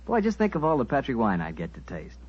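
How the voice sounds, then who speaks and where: A man's voice: mid-range voice, wildly swooping pitch, fast, slightly rough. An American man announcing in 1940s radio style, with folksy, upbeat sales patter. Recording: muffled 1940s radio broadcast recording.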